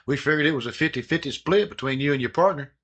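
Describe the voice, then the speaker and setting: An actor playing a police detective who needles a suspect in a dry, knowing way. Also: male voice, a little high, quick pace, a bit bright, husky. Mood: needling. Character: wry male police detective partner.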